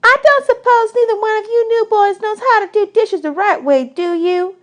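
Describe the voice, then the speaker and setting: A woman voicing a bossy, chatty young girl from a hobo camp in a storybook, with a brisk folksy manner. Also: extremely high pitch, pitched above the performer's own voice.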